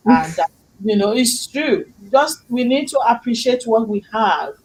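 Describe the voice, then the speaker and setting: A woman's voice: fairly high pitch, lively intonation, measured pace, slightly rough, poor audio. A middle-aged woman hosting a talk show who speaks English as a second language, talking in an effusive, faith-filled, preachy way. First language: not English. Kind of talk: casual chat show hosting.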